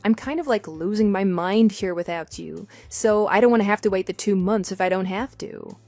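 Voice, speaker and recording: A woman's voice: mid-range voice, moderate pace, darkish tone, no echo, faint music behind, natural voice. A woman playing an affectionate, chatty working wife in an audio drama. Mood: fond, playful. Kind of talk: scripted texting-story radio drama.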